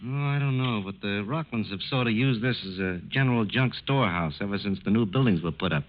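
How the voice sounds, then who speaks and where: An actor playing an agreeable, puzzled plant safety engineer in a 1940s radio drama, folksy, plain-spoken and a little hesitant. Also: male voice, fairly low pitch, fairly quick, lightly acted. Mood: puzzled, curious. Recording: tinny narrow-band old radio recording.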